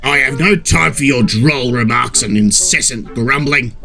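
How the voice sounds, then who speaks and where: A man's voice: a little high, lively intonation, normal speed, gravelly, sharp tone, voice pushed up, full character voice. A man voicing a sour old fishing trawler in a children's story, grouching and sneering as he complains, with music behind. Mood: snappish, sneering. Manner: grouching, snapping, scornful complaining.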